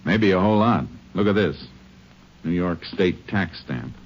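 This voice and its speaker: An actor playing a calm, methodical Texas Ranger in a 1950s radio western, speaking in clipped, matter-of-fact phrases. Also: male voice, low voice, measured pace. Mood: businesslike, probing. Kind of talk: 1950s radio western drama acting and narration. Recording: dull old radio recording with clear hiss.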